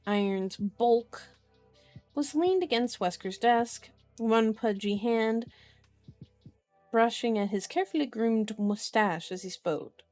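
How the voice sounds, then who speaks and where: A woman's voice: fairly high pitch, slow, darkish tone, faint music behind. A woman hosting an ASMR book reading, playful and chatty, mixing narration with casual asides. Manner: playful, chatty, strays from the text into comments. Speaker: host of an ASMR channel.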